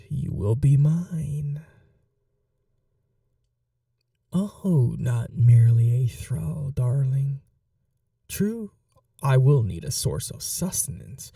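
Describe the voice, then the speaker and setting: Sadistic male vampire lord, played by a male actor, ASMR roleplay: unhurried, courtly, taunting, breathy, with swooping theatrical intonation. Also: medium pitch, mellow, no echo, studio quality, distant mic, exaggerated voice. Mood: seductive, possessive. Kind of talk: dominant ASMR roleplay acting.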